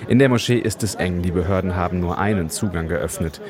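A man filing a scripted radio news report as a correspondent, measured and matter-of-fact. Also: deep voice, crowd noise.

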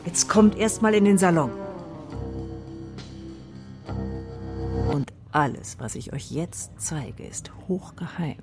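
An actress playing a secretive, bossy but kindly salon woman in a children's radio play, giving brisk, conspiratorial instructions. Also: fairly low pitch, expressive, loud music behind the voice. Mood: urgent, secretive.

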